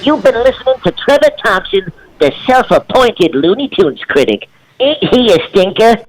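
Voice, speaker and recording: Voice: mid-range voice, sing-song, normal speed, poor audio. An actor voicing a wisecracking, cheeky male cartoon rabbit in a brash manner.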